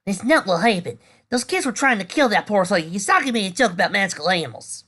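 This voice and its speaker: A caring teenage girl with a Southern US drawl, as a woman puts her on, gentle and worried. Her voice sits higher than the reader's own and moves lively. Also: brisk, natural voice. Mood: concerned.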